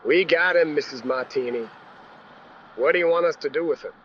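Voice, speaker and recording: An actor playing an obedient, hurried henchman in an old-time radio crime drama, brisk and furtive. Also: on the high side, lightly acted. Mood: urgent. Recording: muffled track with clear background noise.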